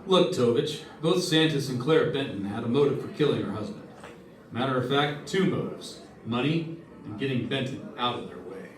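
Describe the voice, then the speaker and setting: A man's voice: medium pitch, lively intonation, normal speed, close to an everyday voice. A man playing a dogged, wry insurance investigator in an old-time radio detective drama. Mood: probing. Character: Johnny Dollar, dogged male insurance investigator, wry and probing. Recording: echoey stage, distant mic, faint music.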